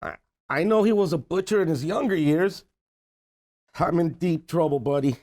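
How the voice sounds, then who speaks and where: A man's voice: on the high side, normal speed, a little mellow, close to an everyday voice. A man playing a desperate, scheming middle-aged uncle in a comedy table read, blustering and pleading.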